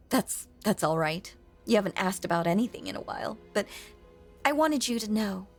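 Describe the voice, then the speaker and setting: Woman acting a breathy, lonely, possessive ghost woman. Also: medium pitch, expressive, moderate pace, soft background music. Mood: wistful. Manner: genteel, old-fashioned phrasing, coaxing and hushed. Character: lonely female ghost, sweetly possessive, quietly sinister. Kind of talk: intimate scripted audio roleplay monologue.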